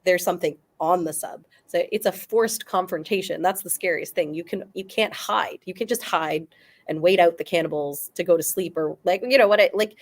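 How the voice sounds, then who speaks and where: A woman's voice: on the low side, fairly quick. A woman arguing her point emphatically in a casual debate, as a guest on a talk show.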